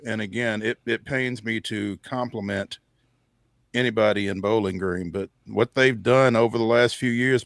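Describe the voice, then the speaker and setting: A man co-hosting a sports show, talking casually through his game picks in a measured, halting way as he thinks aloud. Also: mid-range voice, a bit bright, no room sound.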